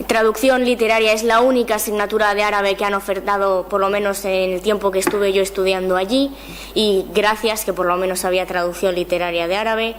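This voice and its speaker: A woman's voice: mid-range voice, slight background noise. A young woman explaining her research off the cuff at a colloquium in an informal way. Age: young adult. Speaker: graduate researcher in translation. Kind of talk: unscripted answers at a colloquium. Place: room at a colloquium.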